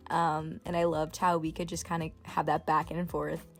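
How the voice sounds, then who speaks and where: Low creaky young woman over music. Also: level tone, moderate pace. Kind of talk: casual vlog commentary.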